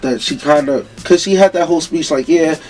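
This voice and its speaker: A man's voice: a little high, a little fast, a little mellow, low-quality recording. Host losing his voice, music behind. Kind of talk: casual chat.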